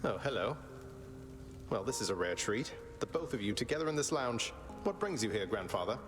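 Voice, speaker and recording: Loud music sits under this video game hero, a young prince of the gods. His voice is a touch low. He is wry, polite and cheekily unbothered, with a protective streak. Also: very animated, brisk. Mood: light, cheeky.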